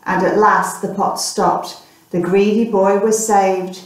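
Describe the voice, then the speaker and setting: A woman's voice: medium pitch, level tone, slow. Female storyteller, thirties, reading to a small child, very echoey room, distant mic. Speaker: storytelling teacher and mother. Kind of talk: reading a picture book aloud to a child. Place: small plain room indoors.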